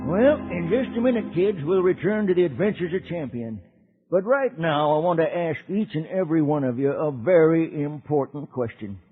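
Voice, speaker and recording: Husky, high-voiced folksy cowboy uncle. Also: male voice. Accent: American. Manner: folksy, rustic drawl. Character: folksy old cowboy uncle narrating to children. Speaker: narrator. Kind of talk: 1950s radio serial storytelling. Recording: dull old radio recording.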